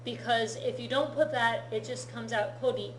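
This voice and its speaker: A woman teaching a Japanese lesson, explaining patiently in an unhurried, easygoing way. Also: a little high, fairly even intonation, a little hiss or hum. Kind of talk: relaxed classroom-style lesson. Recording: echoey room.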